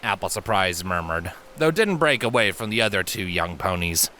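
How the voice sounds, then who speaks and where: A man reading a story as an audiobook narrator, with dramatic, suspenseful and deliberate phrasing. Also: low voice, lively intonation, sharp tone, a little hiss or hum.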